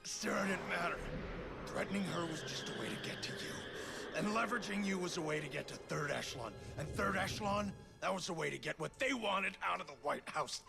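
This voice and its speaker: An actor playing a smug, ruthless agency villain in a video game, with a taunting, condescending manner. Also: male voice, high-pitched, expressive, a little fast, gravelly, heavily acted. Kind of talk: cinematic video game acting. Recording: faint music under the voice.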